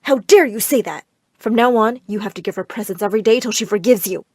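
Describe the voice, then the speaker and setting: A woman's voice: mid-range voice, fast talker, husky, no echo, studio quality, lightly acted. A woman voicing an overbearing, snobbish, money-hungry mother, melodramatic and scolding, in a narrated story. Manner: shouting, scolding, dramatic.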